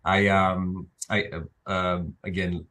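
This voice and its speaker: A man's voice: low-pitched, little pitch movement, slow pace, sharp tone. A man raised in Italy, guest on a talk show, talking hesitantly with many fillers. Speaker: actor and filmmaker guest. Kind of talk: interview.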